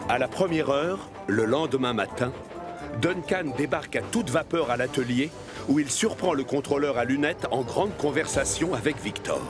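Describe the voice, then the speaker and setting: A man's voice: medium pitch, expressive, moderate pace. Male children's story narrator over music. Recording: music behind the voice.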